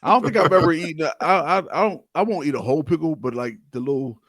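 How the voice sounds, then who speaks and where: Male co-host, slightly higher register, casual profane banter, jokey, dry recording. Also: quick pace, a bit bright, no room sound. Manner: joking, profane. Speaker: podcast co-host.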